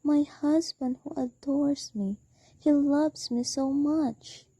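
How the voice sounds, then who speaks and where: A woman's voice: sits high, steady tone, takes it slowly, warm tone, no echo. A young woman acting in a student radio drama as an anxious, demanding invalid wife alone at night. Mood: uneasy, defensive.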